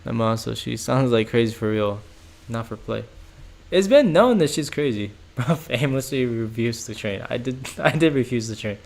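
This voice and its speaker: A man's voice: medium pitch, expressive, normal speed, creaky, a little mellow. A young man streaming from home, chatting casually and rambling, full of "like" and "bro" and frequent laughs. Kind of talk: casual livestream chat reacting to chat.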